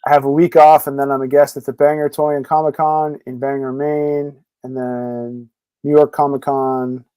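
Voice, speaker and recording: A man's voice: medium pitch, level tone, moderate pace, warm tone, clean tone, dry recording, rough recording. A man being interviewed as a podcast guest, talking casually and rambling with lots of fillers. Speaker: podcast guest, comic creator.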